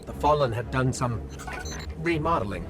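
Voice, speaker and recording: A man's voice: medium pitch, expressive, normal speed, natural voice. A man playing a small, chatty robot companion in a video game, wry, quippy and slightly nervous. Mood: analytical. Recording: loud music behind the voice. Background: loud game soundtrack music.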